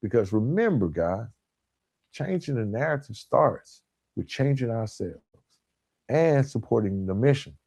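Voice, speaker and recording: A man hosting a motivational podcast, speaking earnestly and emphatically in short, deliberate phrases with repetition. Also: mid-range voice, very animated, gravelly, a little mellow, husky. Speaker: podcast host. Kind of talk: motivational self-improvement podcast monologue.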